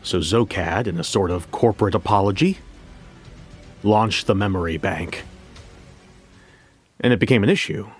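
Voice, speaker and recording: An actor playing a wry, reflective bank coordinator who tells his story in the first person, in a measured, conversational way. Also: on the low side, expressive, close to an everyday voice. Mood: ominous, reflective. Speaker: narrator. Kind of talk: first-person scripted audio fiction narration. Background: music.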